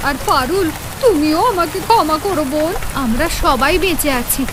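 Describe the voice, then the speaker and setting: An actress voicing a neighbor wife in a cartoon, with a shrill, pleading way of speaking. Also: female voice, animated, normal speed. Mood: remorseful. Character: proud, then remorseful neighbor wife. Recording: rain noise behind.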